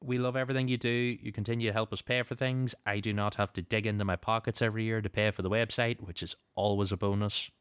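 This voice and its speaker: A man's voice: on the low side, fairly even intonation, fast talker, few pauses, a bit bright, smooth, no room sound, studio quality. A man hosting a podcast, moving between show announcements and casual chat. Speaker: podcast host.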